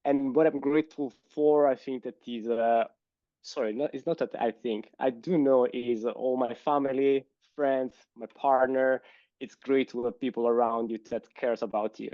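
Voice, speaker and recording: Italian guy on a podcast, mellow voice, kinda muffled dry sound, lots of uhs. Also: mid-range voice, steady tone, no room sound. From Italy. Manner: thoughtful, hesitant with frequent "uh" pauses. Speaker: guest. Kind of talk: casual interview answers. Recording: slightly dull lo-fi sound.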